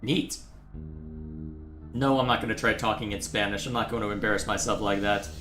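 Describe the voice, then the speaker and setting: A man's voice: fairly low pitch, fairly even intonation, a little fast, a bit bright, roomy sound, faint music behind. A man from Texas hosting a live game stream, thinking aloud in a casual, musing way. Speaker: livestream host playing a game. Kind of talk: casual live gameplay commentary.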